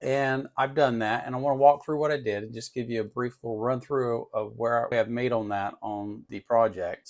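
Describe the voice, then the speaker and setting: Gravelly-voiced man giving a casual tutorial. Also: mid-range voice. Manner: informal, thinking aloud while working. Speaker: host of an electronics tutorial channel. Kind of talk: casual hands-on tutorial demonstration.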